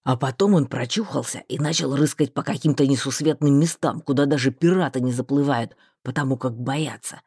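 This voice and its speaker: A woman voicing a bluff, talkative male mage with a rough, folksy, rambling way of speaking. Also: rumbling low, fairly quick, few pauses, dark tone, no room sound, studio quality, pitched below the performer's own voice, heavily acted.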